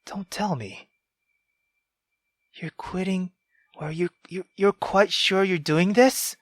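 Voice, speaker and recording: A young man playing a visual novel on camera, reacting loudly and excitably with lots of swearing. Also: high voice, takes it slowly, no room sound, studio quality. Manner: loud, excitable, heavy swearing. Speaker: gaming YouTuber. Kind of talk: let's-play commentary.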